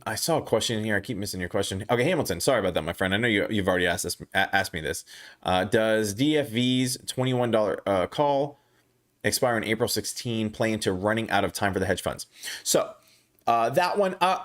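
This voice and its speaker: A young man hosting a casual stock livestream, reading viewer comments aloud and answering them. He talks haltingly with many restarts and fillers, and his voice is going hoarse. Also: fairly low pitch, animated, a bit bright. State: losing his voice, clearing throat.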